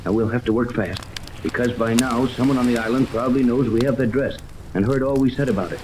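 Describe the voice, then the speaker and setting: An actor playing an earnest, authoritative ship captain in a 1930s radio adventure, explaining things with urgency. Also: male voice, medium pitch, quick pace, lightly acted. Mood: urgent. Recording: noisy old 1930s radio, rain-like hiss.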